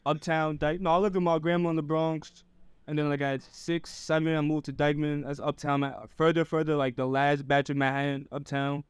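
A young man rambles in an easygoing way in a casual chat. His voice sits fairly high and sounds smooth. The sound is close and dry. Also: level tone, fairly quick, no echo. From United States. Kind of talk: casual group conversation.

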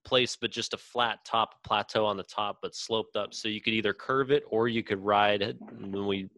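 Male co-host, fairly low pitch, loose profane banter, recorded very dry. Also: steady tone, normal speed, pushed and bright, dry recording. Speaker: podcast co-host. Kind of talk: casual chat among friends.

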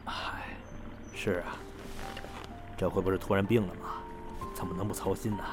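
Guy playing a gentle middle-aged dad, low voice, audio drama with music behind. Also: flat delivery, moderate pace, natural voice. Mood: awkward, polite. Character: mild, caring middle-aged father, conciliatory. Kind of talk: Chinese audio drama acting.

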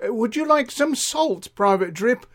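Man as a high, lilting, fussy waitress. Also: animated, unhurried, exaggerated voice. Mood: coaxing. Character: fussy, attentive canteen waitress, sweetly insistent.

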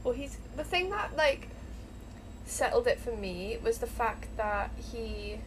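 A young woman with a slight lisp, co-hosting a casual podcast chat while getting ready to go out, chatty and quick to laugh. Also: a little high, animated, a little hiss or hum, low-quality recording. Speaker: podcast co-host.

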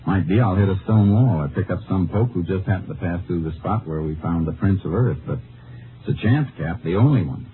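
An actor playing a determined Texas Ranger in a 1950s radio drama, an American with a folksy, clipped, understated delivery. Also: male voice, deep voice, animated, a little fast, noisy background. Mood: earnest, determined. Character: laconic, determined male Texas Ranger investigator, also narrating. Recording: muffled old radio recording, narrow band.

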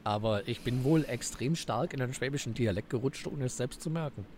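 A man from Swabia with a Swabian accent, a podcast guest telling stories in relaxed casual chat. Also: mid-range voice, a little fast, a little hiss or hum. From Swabia, Germany.

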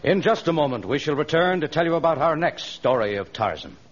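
A man's voice: fairly high pitch, big pitch swings, fairly quick. A man announcing in dramatic, scripted 1930s radio narration style. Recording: dull old radio transcription. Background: orchestral music.

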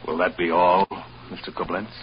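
Radio thriller schemer, male actor: deep, controlled, confessional, quietly menacing employee, on a dull vintage recording. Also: little pitch movement, background noise, natural voice. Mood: meek, guarded. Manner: measured, confessional narration, meekly deferential in dialogue. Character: coldly scheming hotel employee secretly poisoning his boss. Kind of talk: old-time radio drama acting, first-person narration. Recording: dull old radio recording, loud music.

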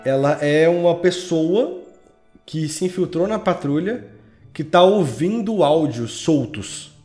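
A man's voice: a little high, lively intonation, measured pace, a little mellow. Casual Brazilian man over faint music. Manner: informal, slangy. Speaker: podcast creator, guest. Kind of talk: casual chat.

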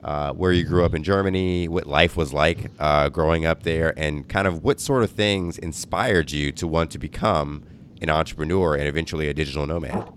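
A man's voice: very deep voice, steady tone, moderate pace, a little hiss or hum. A man hosting a podcast interview, upbeat and full of praise, with frequent uhs while asking his questions. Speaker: podcast host.

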